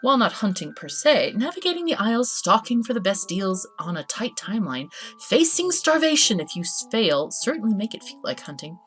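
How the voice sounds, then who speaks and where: A woman's voice: medium pitch, fairly bright tone. A woman gives casual let's play commentary, playful and giggly, reading game text aloud with expression. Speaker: host of a gaming channel. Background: background game music.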